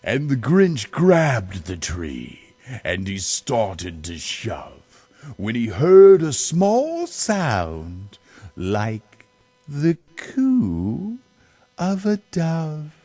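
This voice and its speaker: A man's voice: medium pitch, huge pitch swings, very slow, dark tone, no echo. A middle-aged man reading a children's storybook dramatically, drawing out words in a theatrical way. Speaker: amateur storyteller reading for family.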